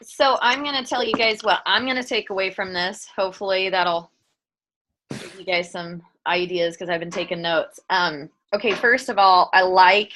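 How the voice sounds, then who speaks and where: A woman's voice: on the low side, sharp tone. A woman hosting a team call, giving a casual, encouraging recap in an informal way. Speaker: host, team leader. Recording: noisy call audio with clicks.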